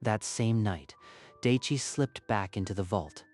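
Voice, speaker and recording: A man's voice: lowish voice, a little slow, a little mellow, soft background music. A man narrating a scripted story in a dramatic style.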